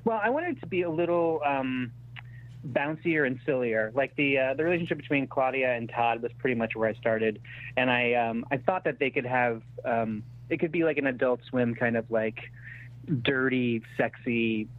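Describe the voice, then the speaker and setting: A man in his thirties chatting casually as an interview guest, halting and self-deprecating as he thinks aloud. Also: medium pitch. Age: 30s. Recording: thin phone-like radio-style sound.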